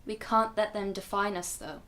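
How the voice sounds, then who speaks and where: A woman playing an earnest, protective grandmother in a radio drama, speaking in a measured, explanatory way. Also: mid-range voice, steady tone, fairly bright tone, lightly acted. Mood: grave, resolute.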